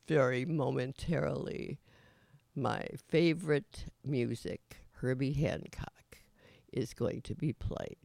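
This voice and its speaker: Slow, gravelly, raspy-voiced male radio host. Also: mid-range voice, a little mellow, dry recording. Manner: chuckles often, trails off with many fillers and restarts. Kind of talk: rambling casual monologue.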